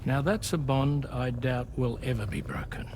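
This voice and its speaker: An actor playing a weathered, philosophical ship captain in a video game, speaking in an unhurried, wistful way. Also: male voice, mid-range voice, background noise, close to an everyday voice. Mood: calm, wry. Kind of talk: scripted video game cutscene dialogue.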